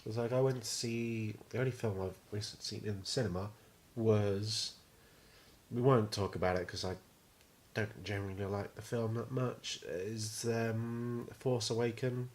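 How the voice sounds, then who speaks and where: A man's voice: lowish voice, steady tone, normal speed, a little mellow. Rambling young British man chatting casually. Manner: rambling, sweary. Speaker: podcast co-host. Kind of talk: casual chat.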